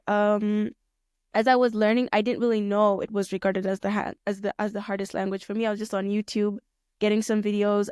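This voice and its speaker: Young kenyan woman, smooth clear voice, pretty even pitch, lots of ums and restarts. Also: dry recording, clean studio sound. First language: Swahili. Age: young adult. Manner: thoughtful, rephrases often, many fillers. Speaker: guest, pilot student. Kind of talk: casual interview answers.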